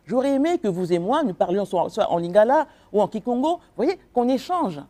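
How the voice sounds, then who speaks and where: A woman's voice: on the high side, sing-song, brisk, warm tone. A woman from the Republic of the Congo, speaking as an author and guest in a radio interview, earnest and emphatic, with frequent hesitations.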